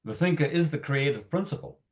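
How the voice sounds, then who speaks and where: A man's voice: on the high side, animated, a little fast. A man speaking emphatically in a spiritual group discussion, repeating phrases for effect.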